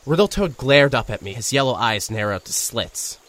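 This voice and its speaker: A man's voice: medium pitch, animated, normal speed, a bit bright, close to an everyday voice. A man playing a young reporter who narrates an audio drama in a wry, conversational way.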